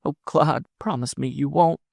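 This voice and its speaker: Man putting on a soft, pleading woman in love. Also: fairly high pitch, moderate pace, gravelly, no echo, studio quality, voice pushed up. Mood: tender, doubting. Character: anxious, tender, pleading young woman in love. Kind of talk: audiobook character dialogue.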